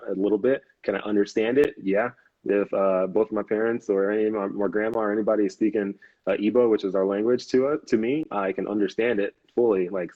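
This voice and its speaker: A man's voice: lowish voice, dry recording. A man in his thirties, a Navy officer guest on a live interview, talking easily with many fillers and restarts. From United States. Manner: easygoing, laughs often, many "um"s and restarts. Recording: dull, lo-fi video call audio.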